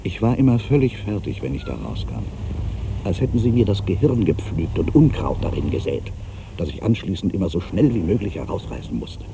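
A man's voice: deep voice, big pitch swings, a little fast, gravelly, noisy background, heavily acted. A man playing an ageing, solitary writer, proud and wry, brooding through a literary dramatic monologue. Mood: brooding, bitter. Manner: brooding, self-questioning, swinging from mutters to outbursts.

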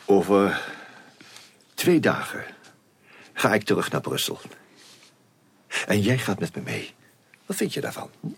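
An actor playing a brisk, fussy but kindly older uncle, a courteous gentleman in a period radio drama. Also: fairly low pitch, wildly swooping pitch, gravelly, slightly rough, heavily acted. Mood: brisk, coaxing. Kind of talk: Dutch radio play acting.

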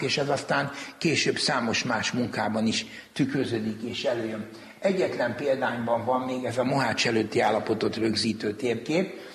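A man being interviewed as a museum director, explaining history in formal, deliberate, scholarly phrasing. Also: mid-range voice. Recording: echoey, reverberant hall.

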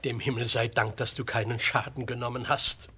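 A man playing a gruff village father in a children's radio play, with a very husky, forceful voice. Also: medium pitch, little pitch movement, moderate pace, exaggerated voice. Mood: relieved.

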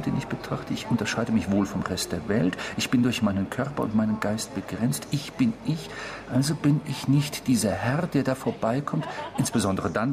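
An actor in a radio drama playing a sardonic, tormenting inner voice, with a husky, mocking and theatrical delivery. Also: male voice, lowish voice, noisy background, close to an everyday voice. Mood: musing, mocking. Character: sardonic tormenting inner voice claiming to be a man's destructive self.

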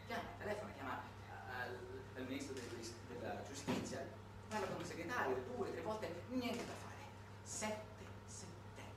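A man performing a theatrical narrative monologue in a conversational way, emphatic, with dramatic pauses and repetitions. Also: high-pitched, far from the mic. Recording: echoey, noisy room recording from afar.

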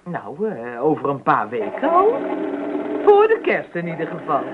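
Excitable chatty mother with swooping intonation. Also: low voice, unhurried, full character voice. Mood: fond, pleased. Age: middle-aged. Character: delighted, chatty mother sewing curtains. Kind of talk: radio play acting. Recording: noisy, dull old radio recording.

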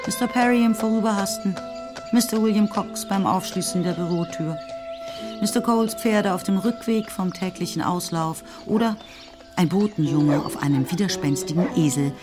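A woman narrating a literary radio play in a scripted storytelling style. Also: lowish voice. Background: music.

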